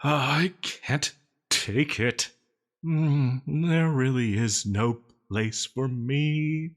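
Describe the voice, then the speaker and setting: A man's voice: medium pitch, extremely slow. A man playing a needy, possessive supervillain in a roleplay audio drama, melodramatic and prone to giggles and stammers. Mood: bitter self-pity. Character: male yandere supervillain, theatrical, needy, possessive, self-pitying, lovestruck.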